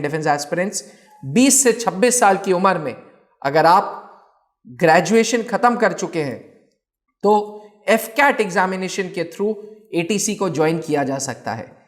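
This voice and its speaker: Emphatic male instructor explaining step by step. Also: sits high. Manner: emphatic, deliberate phrasing with repetition for stress. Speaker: instructor presenting a defence exam channel. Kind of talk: explanatory educational presentation addressed to aspirants.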